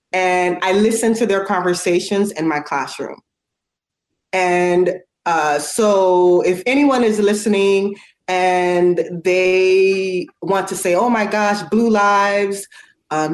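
A woman with a somewhat low voice talks in an earnest, outspoken way and uses frequent fillers. She sounds as if she is in an echoey room. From United States. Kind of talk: casual conversation.

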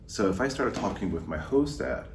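A man from the United States, a podcast guest telling stories in a relaxed conversational way. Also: low voice, normal speed, roomy sound, far from the mic, slight background noise. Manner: relaxed storytelling with fillers. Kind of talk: casual conversation.

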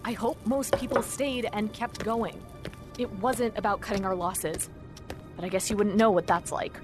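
An actress playing a combative, sharp-tongued activist in an audio drama, with a blunt and curt manner. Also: female voice, medium pitch, fairly even intonation, normal speed, music in the background, lightly acted. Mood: angry. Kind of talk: naturalistic audio drama acting.

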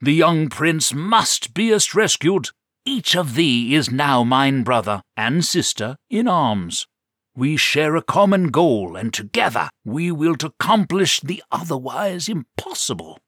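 A man's voice: fairly high pitch, very animated, fairly bright tone, no room sound, studio quality, voice pushed up, exaggerated voice. A man voicing a bold, pompous knight who declaims in mock olde English. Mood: solemn, rousing. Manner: grandiose, archaic, slow and declaiming.

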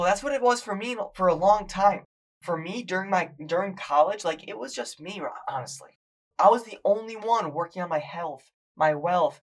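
Hoarse, hyped-up young fitness coach. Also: male voice, high-pitched. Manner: hyped, shouting encouragement to viewers. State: hoarse, losing his voice. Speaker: fitness coach and podcast host. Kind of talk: live motivational coaching stream.

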